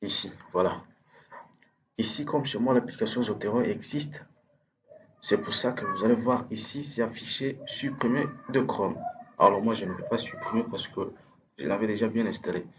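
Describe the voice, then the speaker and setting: A man with French as his first language, giving a hesitant, step-by-step software tutorial over a screen recording, full of fillers and restarts. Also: fairly low pitch, moderate pace, background noise. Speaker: instructor.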